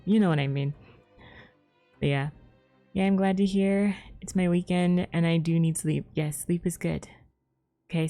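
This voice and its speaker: Woman streamer, casual and giggly, with a lowish, mellow voice. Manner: giggly, rambling, friendly. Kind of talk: casual gameplay chat. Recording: game music faint behind.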